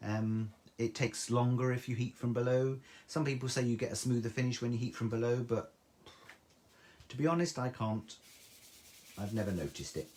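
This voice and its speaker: A man's voice: mid-range voice, moderate pace, a little mellow. A man with a soothing voice, chatting through a live craft tutorial and reading out viewer comments. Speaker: craft demonstrator.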